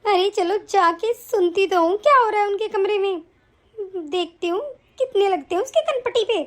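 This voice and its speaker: A woman's voice: very high-pitched, moderate pace. A woman playing a spiteful, scheming, hot-tempered mother-in-law in a family melodrama, scolding and complaining. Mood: gloating. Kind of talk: domestic family melodrama acting.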